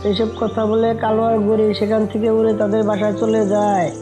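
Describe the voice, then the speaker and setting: A woman's voice: mid-range voice, level tone, fast talker, over music. A woman narrating a scripted cartoon story as its storyteller.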